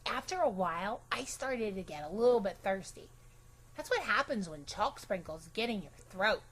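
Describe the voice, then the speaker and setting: A woman voicing a chatty, imaginative, bossy little girl in an animated children's storybook read-aloud, with childish, emphatic phrasing. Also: medium pitch, normal speed, breathy edge, low-quality recording. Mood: chatty, scheming.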